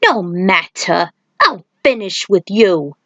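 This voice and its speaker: A woman's voice: medium pitch, animated, takes it slowly, fairly bright tone, dry recording. A woman voicing a vile, gloating male crime boss villain from a fan fiction story. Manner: gloating, menacing.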